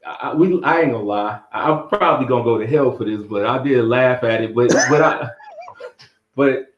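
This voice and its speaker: A man's voice: medium pitch, moderate pace, noisy background. A man with a Southern US accent, a guest telling travel stories in casual slangy talk and laughing often. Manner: laid-back storyteller, slangy, laughs often. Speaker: interview guest. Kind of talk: casual interview chat. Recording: echoey muffled call audio.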